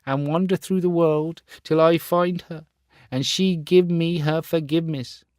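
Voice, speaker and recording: A man voicing a proud, cruel boy who later turns remorseful, crying out in a high, strained voice. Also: fairly even intonation, a little slow, darkish tone, dry recording, studio quality, lightly acted.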